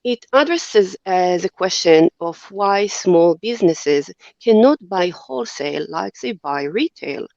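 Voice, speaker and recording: Israeli-accented woman, gravelly and dry. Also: lowish voice, expressive, unhurried, hardly any pauses, a little mellow, no echo, lo-fi sound. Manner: earnest, with frequent fillers and restarts. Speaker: company CEO, guest. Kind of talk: podcast interview.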